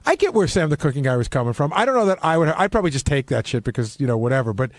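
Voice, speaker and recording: A man's voice: on the high side, big pitch swings, very fast, dry recording. A man chatting casually and bluntly on a radio panel, swearing freely as he gives his opinions. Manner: blunt, profane, conversational. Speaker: radio show panelist and staffer. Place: radio studio.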